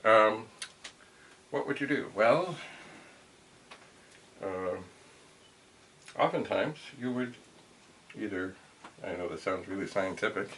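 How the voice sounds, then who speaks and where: Halting, low-pitched man thinking aloud. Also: animated, fairly bright tone. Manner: halting, musing, talks to himself. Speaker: vintage computer hobbyist and video host. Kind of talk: casual hands-on demonstration, thinking aloud. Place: cluttered indoor home room. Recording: clear background noise, equipment clicks.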